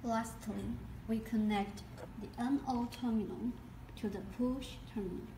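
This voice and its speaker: A woman giving scripted instructional narration as a product demonstrator, in a deliberate step-by-step way. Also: on the high side, steady tone. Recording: echoey, distant mic, noisy background.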